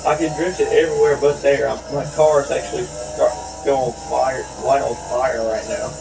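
American guy commentating a racing game, bit scratchy and gravelly, folksy, music behind him. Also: mid-range voice, fairly even intonation, normal speed. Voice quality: slightly scratchy. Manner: folksy, colloquial. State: scratchy voice from shouting and allergies. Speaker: gaming channel host.

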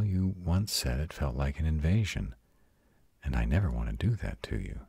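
A man voicing a tender, protective male vampire in an intimate, hushed audio roleplay, soothing and husky. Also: dry recording, heavily acted. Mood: tender, concerned. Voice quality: very deep, husky, often breathy whispering. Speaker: voice actor.

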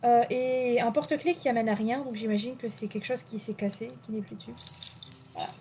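A woman's voice: a little high, fairly even intonation, a little fast, dark tone, slight background noise. A young woman co-hosting a live stream, chatting casually and rambling with lots of hesitations. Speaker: stream co-host. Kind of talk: casual chat.

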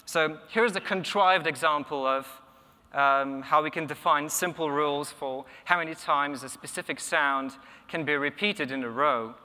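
Young male speaker, Polish native, bright voice, lectern mic, long echo of a big hall, hesitant and thoughtful. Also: fairly high pitch, normal speed. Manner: thoughtful, many ums and restarts. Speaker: conference speaker. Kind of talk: technical conference talk. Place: large conference hall, at a lectern. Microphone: lectern microphone.

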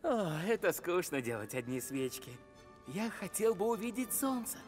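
Cartoon sidekick: small curious gnome, childlike, wide-eyed, animated intonation over background score. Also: female voice, fairly low pitch, normal speed, soft background music. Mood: earnest, wistful. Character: naive, curious little boy gnome, eager and sweet-toothed. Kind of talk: animated film dubbing.

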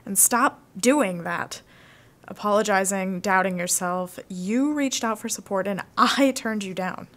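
Young woman as frank college girl, medium pitch. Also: unhurried, fairly bright tone, lightly acted. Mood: remorseful. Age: young adult. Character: frank, remorseful young female college student. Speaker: student actor. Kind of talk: audio drama acting.

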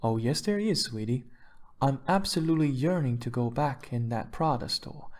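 A man voicing a wealthy, glamorous wife who is breezy, touchy and charming, gushing and quick to defend herself in an audiobook story. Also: mid-range voice, darkish tone, close to an everyday voice. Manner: gushing, defensive, pet names for husband.

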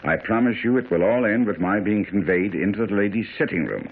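An actor playing a self-assured, brilliant detective in a radio drama, speaking with precise, theatrical authority. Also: male voice, fairly low pitch, a little fast. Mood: instructing, commanding. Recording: tinny, faintly noisy old radio broadcast.

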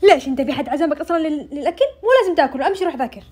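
A woman's voice: sits high, very fast, a little mellow, natural voice. A Saudi-accented woman voicing a playful, pushy, cake-loving fairy godparent in an amateur cartoon dub, coaxing insistently. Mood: teasing.

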